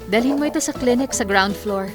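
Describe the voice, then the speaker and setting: An actress playing a kind but firm young office worker in a Filipino radio drama, friendly and assertive. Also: female voice, on the high side, brisk, natural voice. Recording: music bed under dialogue.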